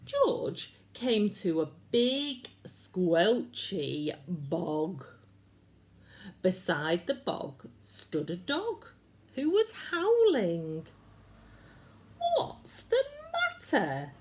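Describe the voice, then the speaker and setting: A woman reading a children's story aloud in an expressive, measured way. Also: lowish voice.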